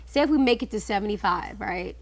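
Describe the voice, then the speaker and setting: Woman in her 30s on a podcast, slangy and lively, gets loud when she laughs. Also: medium pitch, fairly quick. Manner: animated, slangy, laughs loudly when amused. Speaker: podcast guest. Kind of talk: casual chat.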